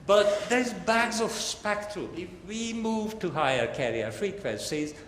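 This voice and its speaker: A man's voice: high voice, animated, measured pace, a bit bright. Echoey lecture hall, older male professor. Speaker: professor giving an academic lecture. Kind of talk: technical lecture to an audience. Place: large lecture hall, indoors. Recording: echoey hall, faint background noise.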